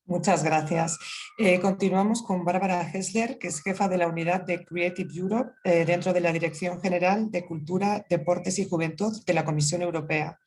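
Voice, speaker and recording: A woman moderator with a lowish voice briskly introduces the next speaker. She sounds set back from the microphone. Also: fairly even intonation, a little mellow.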